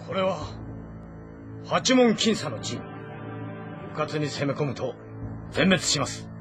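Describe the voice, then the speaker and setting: A man playing a shrewd, composed ancient Chinese military strategist in a game drama, speaking formally and in measured explanations. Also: fairly high pitch, big pitch swings, music in the background. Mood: grave, explaining. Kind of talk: dramatic audio-novel acting in a video game.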